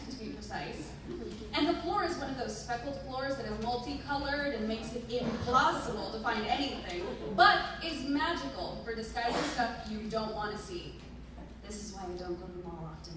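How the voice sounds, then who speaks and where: Female reader, higher-pitched voice, dramatic literary storytelling, expressive emphasis, distant mic in a heavily reverberant space. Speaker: performer reading a short story aloud. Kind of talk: dramatic first-person literary reading. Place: live stage reading in a museum hall. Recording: very echoey room, distant mic, noisy.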